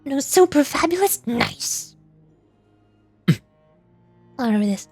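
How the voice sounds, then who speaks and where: High-pitched bubbly woman streamer. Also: sing-song, slow pace, darkish tone, dry recording, faint music behind. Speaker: livestreamer, VTuber. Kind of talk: casual livestream chat over a game.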